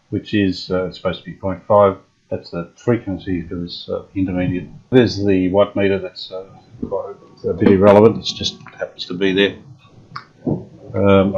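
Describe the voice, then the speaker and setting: A man restoring an old radio, explaining each step in an unhurried way with many pauses and fillers. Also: low-pitched, a little mellow, husky, highs cut off. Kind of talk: casual workshop demonstration.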